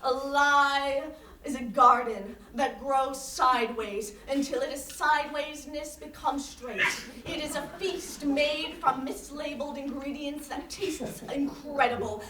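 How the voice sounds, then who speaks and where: A woman's voice: high-pitched, measured pace. A woman playing a domineering, philosophizing interviewer in a staged play reading, making grandiose theatrical pronouncements. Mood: grandly philosophical. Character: domineering, philosophizing interviewer pushing another to abandon ego. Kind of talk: staged play reading before a live audience. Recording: echoey stage from far off, audience noise.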